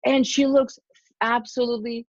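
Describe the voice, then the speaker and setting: A middle-aged woman from Germany hosting a podcast interview, excited and effusive as she introduces her guest. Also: on the high side, normal speed, no room sound. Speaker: podcast host. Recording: dull dry lo-fi sound.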